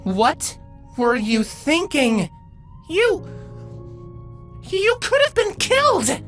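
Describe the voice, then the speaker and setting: A man's voice: very high voice, wildly swooping pitch, extremely slow, a bit bright, faint music behind, voice pushed up, full character voice. A man voicing a fierce, protective changeling queen and mother, stern and full of emotion, in a story reading. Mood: stern, upset.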